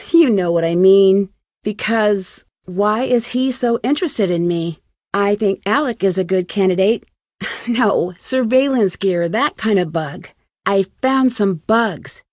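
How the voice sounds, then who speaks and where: A woman's voice: medium pitch, a little mellow, no room sound, studio quality, natural voice. An actress playing an earnest, determined young female amateur detective in conversational video game dialogue. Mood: puzzled, focused. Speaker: lead character in a detective adventure game.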